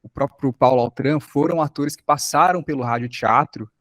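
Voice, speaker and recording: A man's voice: mid-range voice, normal speed, no echo. A Brazilian man explaining things in a class discussion, a little hesitant, like a workshop teacher.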